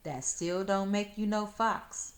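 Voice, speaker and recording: A woman's voice: mid-range voice, slow pace, pitched above the performer's own voice, lightly acted. A woman voicing a sassy young country girl in a storybook, teasing and matter-of-fact in rural dialect.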